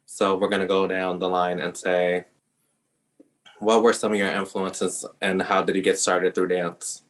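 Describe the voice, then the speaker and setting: Podcast host, male, deep and monotone, low-key and even. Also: a little slow. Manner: self-described introvert, even-toned. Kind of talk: casual interview chat.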